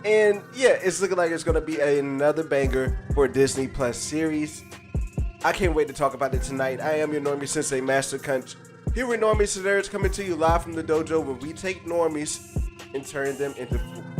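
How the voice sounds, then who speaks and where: A man's voice: fairly high pitch, moderate pace. Stuffy-nosed man hosting a hype stream. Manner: hyped, slangy, greets chatters by name. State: stuffy nose, under the weather. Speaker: host of a live stream. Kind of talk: casual live-stream chat. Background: faint live jazz music.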